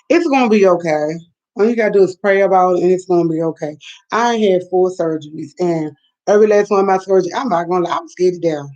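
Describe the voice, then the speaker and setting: A woman's voice: on the low side, darkish tone. A woman, rambling through a late-night live chat and reacting to viewers' comments. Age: 50s. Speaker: livestream host. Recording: dull lo-fi sound.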